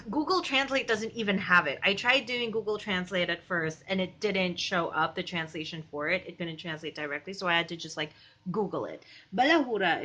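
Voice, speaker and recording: Young woman vlogging, chatty and rambly, kinda low voice, jokey little asides. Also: a bit bright. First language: Filipino. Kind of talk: casual commentary vlog.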